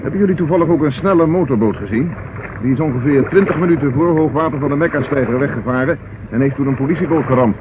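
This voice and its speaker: An actor playing a shrewd, persistent police inspector in a radio drama, speaking with dry, controlled irony. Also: male voice, on the high side, fast, phone-quality sound, natural voice. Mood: businesslike. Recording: thin, noisy old radio recording.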